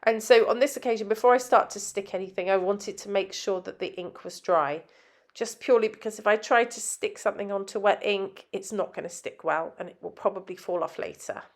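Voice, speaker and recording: A woman's voice: medium pitch, brisk. A woman designer narrating a scrapbooking tutorial in a chatty, step-by-step way, sounding nasal from a head cold. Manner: chatty, explains step by step with frequent ums.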